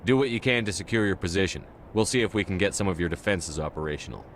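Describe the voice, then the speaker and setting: A man acts a steady, authoritative space commander in a video game. The voice sits low and moves fast, in terse lines. Faint noise runs behind it. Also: natural voice. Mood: commanding. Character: male space navy commander, decisive and steady.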